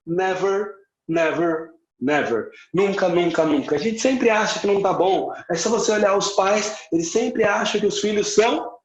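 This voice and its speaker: A Brazilian man with a Brazilian Portuguese accent and a rather high voice gives an emphatic teaching talk, repeating key points and slipping in English words. He sounds like he is in an echoey room, and the audio is a bit muffled. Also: normal speed.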